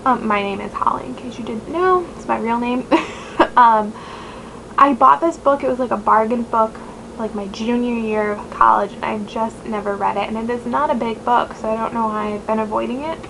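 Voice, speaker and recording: A woman's voice: a little high, normal speed, vocal fry. A nasal-voiced woman in her twenties, chatting casually to camera as a book vlogger, rambling with many fillers. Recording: noisy home recording.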